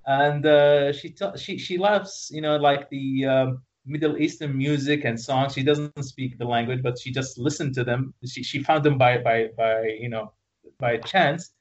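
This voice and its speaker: A man from Iraq, a guest on a remote interview, telling a story in a halting, laughing way with many restarts. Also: medium pitch, muffled recording.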